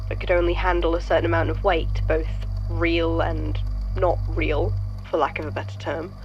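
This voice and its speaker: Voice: mid-range voice, level tone, normal speed, lo-fi sound, faint music behind, natural voice. An actor playing a wry, weary, blunt troublemaker in an audio drama, talking in a dry, hesitant, conversational way. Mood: hesitant, candid. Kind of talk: fan audio drama acting.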